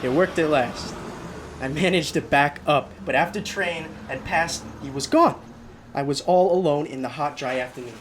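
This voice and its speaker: A man's voice: on the high side, moderate pace, natural voice. An actor playing a haunted, desperate young male driver in a radio drama, with an intense delivery that stammers under agitation. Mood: shaken, brooding. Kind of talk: radio drama acting with first-person narration. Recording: clearly noisy radio play recording.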